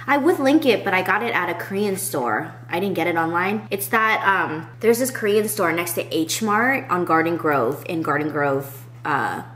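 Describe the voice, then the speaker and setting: A woman's voice: fairly low pitch, expressive, moderate pace, a bit bright. A young adult woman with an American accent chatting casually to camera as a vlogger, rambling and informal. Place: at a table in an open-plan house.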